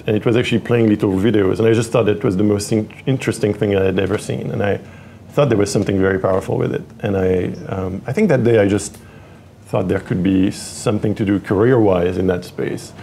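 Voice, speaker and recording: French-accented male guest, mellow dark voice, faint room hiss. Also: on the low side, gravelly. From France (Paris). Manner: hesitant, many fillers and restarts. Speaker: tech executive guest. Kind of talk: interview conversation.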